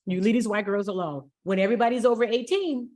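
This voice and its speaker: A woman's voice: mid-range voice, fast talker, a little mellow. A middle-aged woman with an American accent, talking casually and candidly in a blunt, unfiltered way and swearing freely. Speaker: podcast host.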